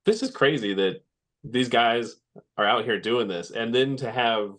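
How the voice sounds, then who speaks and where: A man's voice: medium pitch, lively intonation, a little slow, a bit bright. A man co-hosting a podcast, chatting casually in a laid-back, loosely phrased way. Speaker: podcast co-host. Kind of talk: casual conversational commentary.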